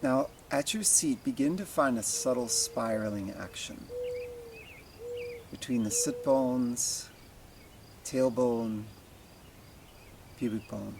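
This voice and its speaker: A man leading a guided meditation as a teacher, speaking in slow, deliberate phrases with long pauses. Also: mid-range voice, creaky, background noise.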